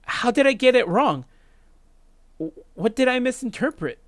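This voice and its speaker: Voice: medium pitch, expressive, normal speed, no room sound, voice pushed up, lightly acted. A let's player voicing a desperate, accusing visual novel student, urgent and pleading. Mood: shocked, confused. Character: desperate, accusing schoolkid Megatron, indignant and confused.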